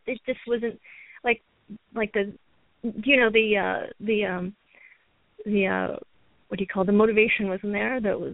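A woman's voice: mid-range voice, vocal fry, dry recording. American woman on a narrow phone line. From New York, United States. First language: Chinese. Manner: rambling, halting, often repeats words. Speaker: guest, actress and martial artist. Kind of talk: conversational phone interview. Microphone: telephone call.

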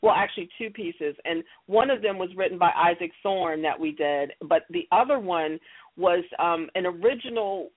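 A woman's voice: lowish voice, moderate pace. Woman, interview guest, casual storytelling, conversational, narrow telephone-band sound. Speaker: interview guest, audio drama producer. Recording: tinny telephone line.

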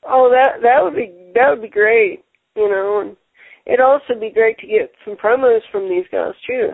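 A man hosting a casual radio show, talking haltingly and repeating words, with many fillers. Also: very high voice, vocal fry, no room sound. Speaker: show host. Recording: tinny phone-line sound.

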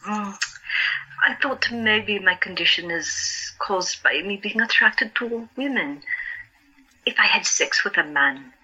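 An actress playing a troubled, earnest, gentle female asylum patient in an audio drama, hesitant and confiding. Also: medium pitch, animated, takes it slowly, husky, dull audio, exaggerated voice. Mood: confessional.

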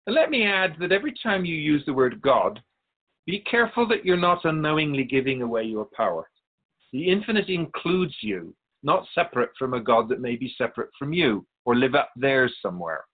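Spirit guide for radio drama male actor: wise, formal, instructive, lively mid-range pitch. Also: a little fast, narrow, tinny audio, close to an everyday voice. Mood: gently admonishing. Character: Keeper of Souls, serene wise otherworldly spirit guide.

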